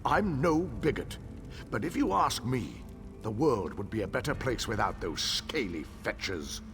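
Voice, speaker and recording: Gravelly, indignant, bitter townsman. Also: lowish voice, very animated, measured pace, over music. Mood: bitter, scornful. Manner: scornful, indignant, emphatic. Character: bitter, bigoted, impatient grieving townsman. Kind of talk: video game character acting.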